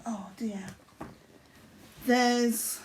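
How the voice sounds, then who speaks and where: An older woman from Yorkshire talks slowly and at ease in a fairly high voice. She chats about her crafts at home, rambling and correcting herself, with plenty of ums along the way. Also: fairly even intonation, slight background noise. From Yorkshire, England. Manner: slow, chatty and rambling, with many fillers and self-corrections. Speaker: craft vlogger. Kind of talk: casual chat showing needlework.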